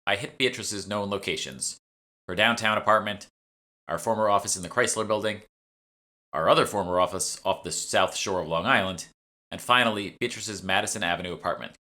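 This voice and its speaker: A man's voice: lowish voice, brisk, pushed and bright. A man reading his own novel aloud as a scripted first-person audiobook narration.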